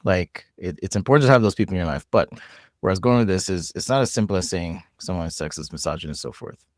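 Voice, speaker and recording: Jamaican guy on a podcast, lowish voice, thinks out loud, lots of restarts. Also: quick pace, no echo, studio quality. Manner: reflective, animated, frequent restarts and repeated words. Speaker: podcast guest. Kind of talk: casual conversation.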